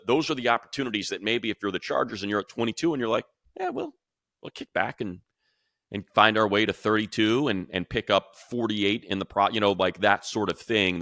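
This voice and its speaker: A man's voice: lowish voice, a bit bright, no room sound, studio quality. A man speaking as a sports show host, giving casual, conversational analysis in an emphatic, rambling way.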